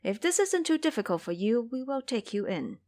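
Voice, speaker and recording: A woman playing a shrewd, lively matchmaking mother. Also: a little high, normal speed, pitched above the performer's own voice. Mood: agreeable. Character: shrewd matchmaking mother of three daughters, rich household.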